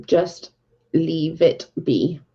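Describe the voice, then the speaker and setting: Gravelly Welsh woman streaming live. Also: sits low, drawn-out words, muffled recording. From Wales. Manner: blunt and exasperated, talking to chat. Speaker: livestream host. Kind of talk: casual livestream chat.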